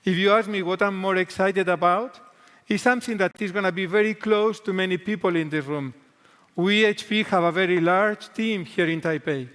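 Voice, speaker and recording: A man's voice: sits high, normal speed, a bit bright, clear, resonant tone. A middle-aged man with a strong Spanish accent, a native Spanish speaker, talking earnestly as a guest executive on a keynote stage, with some restarts. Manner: earnest, with restarts in second-language English. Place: large convention hall stage. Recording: echoey large hall.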